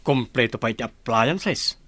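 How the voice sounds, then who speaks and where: A man's voice: medium pitch, lively intonation, moderate pace, fairly bright tone, no room sound. A man playing a gossipy, teasing confidant in an Ilocano radio drama. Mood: admiring, teasing. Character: gossipy, teasing friend of the mistress.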